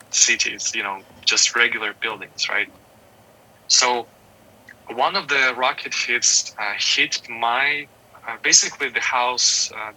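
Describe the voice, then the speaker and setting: A man's voice: medium pitch, pushed and bright, poor audio. A man in his thirties from Ukraine, an interview guest explaining things earnestly and in detail, often saying "you know". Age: 30s. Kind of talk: recorded interview.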